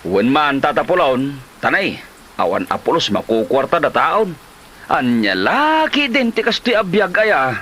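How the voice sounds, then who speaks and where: A man's voice: fairly high pitch, big pitch swings, unhurried, a bit bright. A man playing a shifty, irritable, broke husband in a comedy drama, with a Filipino accent and a dismissive manner. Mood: complaining. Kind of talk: Ilocano comedy drama acting. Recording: light noise, rain-like ambience.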